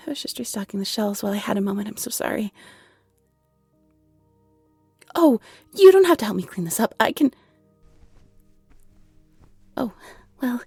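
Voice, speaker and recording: A woman's voice: fairly high pitch, dark tone, slightly rough, no echo, full character voice. A woman playing a shy, polite young half-owl shopkeeper, hesitant, apologetic and nervously chatty, in a roleplay audio drama. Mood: flustered, grateful.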